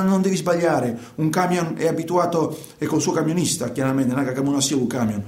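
A man's voice: on the high side, natural voice. Guy playing troubled trucker confessing, stumbles and repeats himself, echoey room, radio drama. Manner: hesitant, halting, repetitive, colloquial. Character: troubled middle-aged male truck driver, storyteller confessing to a priest. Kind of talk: theatrical monologue in a radio play.

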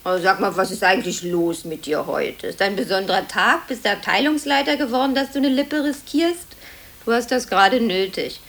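An actress playing a sharp-tongued, gossipy office typist, snappish and chatty, in a 1950s German radio drama. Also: female voice, medium pitch, moderate pace, gravelly, lightly acted. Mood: needling. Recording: old cassette radio recording, some hiss.

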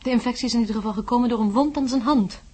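A woman acting in a radio drama as a brisk, down-to-earth wife, in conversational dialogue. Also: on the high side, mellow, breathy edge. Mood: relieved, concerned.

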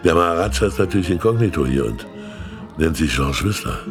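An actor playing a very fat older state councillor in a radio play, jovial, sly and self-mocking, with a theatrical delivery. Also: male voice, low voice, lively intonation, fairly quick, over music. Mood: sly, confiding.

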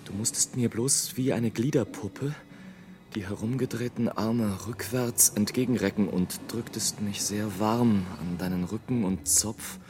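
An actor playing a melancholic, dreamy airship traveller in a German radio play, speaking in a poetic, theatrical monologue. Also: male voice, on the low side, natural voice. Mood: brooding, haunted. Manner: slow, poetic, dramatic delivery with swells and hushed drops.

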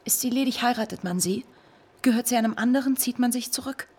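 An actress in a German radio drama playing a virtuous married bourgeois lady, measured, reserved and coolly moralising. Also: on the high side, darkish tone, slightly rough. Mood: restrained, firm.

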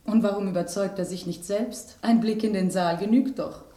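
An actress in a German radio drama playing a vain, genteel rose, with an affected and dramatic way of speaking. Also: female voice, medium pitch, fairly even intonation, normal speed, mellow, reverberant, lightly acted. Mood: curious, superior. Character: talking rose, female, vain, genteel, easily scandalized.

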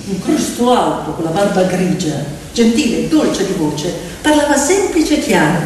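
A woman telling a story theatrically, with expressive, dramatic, deliberate phrasing. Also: medium pitch, far from the mic. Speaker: stage storyteller and actress. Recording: echoey hall from afar, faint noise.

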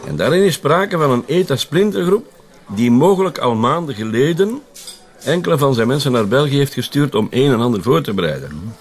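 A man's voice: mid-range voice, big pitch swings, a little fast. A man with a Flemish accent acting an affable, conspiratorial police colonel in a radio drama, often chuckling. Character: genial senior police colonel, confiding.

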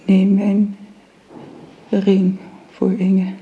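A dull, faintly noisy recording of a frail mother on her deathbed in a radio play. She whispers weakly and extremely slowly, in effortful, broken phrases. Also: mid-range voice, monotone. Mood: weak, pleading. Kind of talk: Dutch radio drama acting.

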